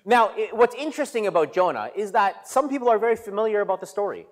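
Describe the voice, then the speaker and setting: A man preaching as a guest speaker, pausing for an interpreter, with stop-start phrasing, repeated words and light self-deprecating humor, in a reverberant church. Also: high voice, expressive. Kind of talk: sermon delivered through an interpreter. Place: church sanctuary.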